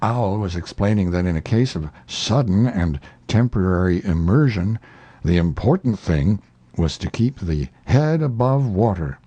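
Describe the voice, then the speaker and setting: Low, mellow male storybook narrator. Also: expressive, a little slow, slightly rough, muffled recording. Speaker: audiobook narrator. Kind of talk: scripted children's storybook narration.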